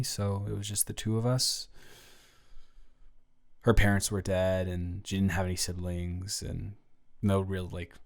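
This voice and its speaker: Young male college student played by a man, nervous, sweet, awkward, halting delivery for intimate audio roleplay. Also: low-pitched, a little mellow. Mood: subdued, confiding. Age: young adult. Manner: halting, stammering, anxious, rambling. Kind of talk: intimate one-sided audio roleplay acting.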